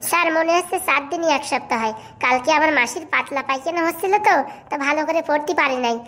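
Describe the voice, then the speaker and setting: Voice actor as insolent cartoon schoolkid, bickering excuse-maker, high-pitched, breakneck pace, rural Bengali dialect. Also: quick pace, pushed and bright. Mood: earnest bluffing. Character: mouthy young pupil, brazen excuse-maker. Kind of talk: comic cartoon dubbing.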